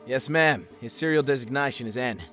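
A man's voice: medium pitch, a little fast, a bit bright, lightly acted. A man voicing a chatty, slightly smug killer robot in a comic dub, with theatrical, scheming politeness. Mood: formal, deferential. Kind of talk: fan comic dub acting. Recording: music behind the voice.

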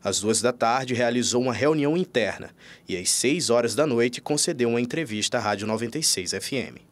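A man reads a news voice-over at a mid pitch. He goes through each item steadily and evenly, in a plain broadcast delivery. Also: a little fast. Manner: steady list-reading. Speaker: news narrator.

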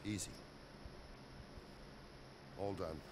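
An actor playing a gruff, steady older knight captain in a medieval video game, plain-spoken and commanding. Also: male voice, deep voice, extremely slow. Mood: reassuring. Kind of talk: video game cutscene acting. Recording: noisy game ambience behind.

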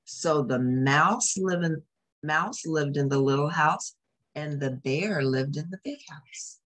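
A woman's voice: deep voice, takes it slowly. A woman reading a picture book aloud as a storyteller, expressively, with explanatory asides to young listeners.

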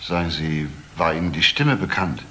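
Casting: senior detective, middle-aged, deep forceful voice, probing and deliberate, crime radio play. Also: male voice, lively intonation, roomy sound. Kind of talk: German crime radio drama acting.

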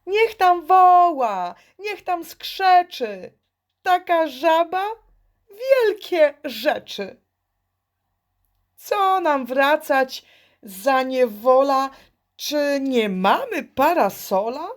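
A woman's voice: sits high, sing-song, drawn-out words, voice pushed up, exaggerated voice. A woman voicing cocky, headstrong little children in a verse storybook, exclaiming defiantly. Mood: dismissive, defiant.

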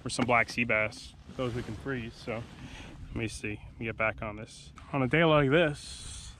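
A man's voice: medium pitch, steady tone, normal speed, a bit bright, a little hiss or hum. A man in his thirties giving a casual running commentary while fishing alone from a kayak, talking to himself between casts, recorded on an action camera mounted on the boat. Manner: laid-back, talks to himself between casts. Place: kayak on calm open sea, outdoors.

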